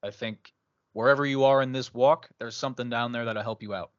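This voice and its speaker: A man's voice: medium pitch, steady tone, fairly quick, no room sound, muffled recording. A man hosting a religious discussion podcast, talking casually and laughing as he tells a story. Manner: conversational, laughs while telling stories. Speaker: podcast host.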